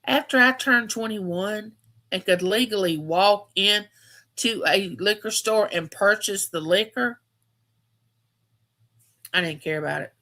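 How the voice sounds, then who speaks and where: A woman's voice: medium pitch, measured pace, pauses often, bright, forceful tone. A woman, hosting a casual live chat and telling stories, repeating phrases for emphasis. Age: 50s. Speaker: livestream host.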